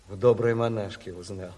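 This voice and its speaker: Brash revolutionary sailor, echoing hall. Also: male voice, on the low side, warm tone. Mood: sly, teasing. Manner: rough slangy bluster. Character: brash, pugnacious revolutionary sailor, rough and teasing. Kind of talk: Soviet radio play acting. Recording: long hall reverb on 1970s radio play.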